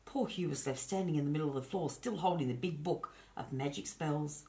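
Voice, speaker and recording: A woman with a low-pitched voice reads a children's bedtime tale. She is the host and storyteller, expressive in her telling and chatty toward little listeners. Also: a little fast.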